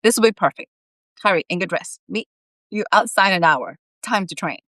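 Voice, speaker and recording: A woman voicing a bossy, excitable male cat boss in a storybook, proclaiming theatrically. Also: medium pitch, moderate pace, no echo, clean studio sound, close to an everyday voice. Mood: excited, commanding.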